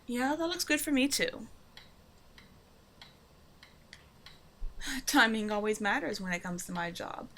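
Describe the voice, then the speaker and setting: A woman's voice: a little high, animated, moderate pace, pushed and bright. Flirty, self-assured villainess, acted by a woman, noisy background. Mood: affectionate, easygoing. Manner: teasing, casual, self-assured. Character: flirtatious female supervillain, a demanding but generous tailor's customer. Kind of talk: roleplay audio drama, intimate one-sided dialogue to listener.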